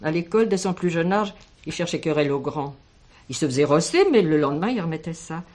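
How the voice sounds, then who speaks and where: Older village teacher lady in a radio drama, reflective, expressive, stubbornly protective. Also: low voice, fast talker. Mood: protective, insistent. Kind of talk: French radio drama acting.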